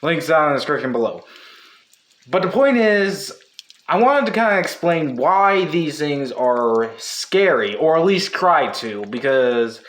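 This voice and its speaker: A man's voice: fairly high pitch, big pitch swings, unhurried, fairly bright tone. A man hosting a casual, unscripted video essay, talking in a rambling way that often backtracks and corrects itself. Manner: rambling, self-correcting, hedging. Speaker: video host.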